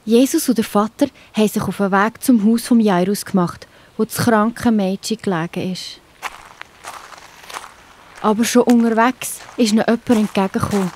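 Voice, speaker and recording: Mellow Swiss German woman storyteller. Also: medium pitch, moderate pace, a little hiss or hum. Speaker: narrator. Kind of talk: children's Bible story narration. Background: faint music.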